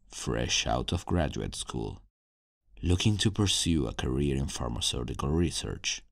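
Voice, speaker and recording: Guy doing a super deep gravelly villain scientist, brooding n regretful, slow dramatic asmr roleplay, real dry close sound. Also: no echo, exaggerated voice. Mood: wistful. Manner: slow, deliberate, sighing, dramatic pauses.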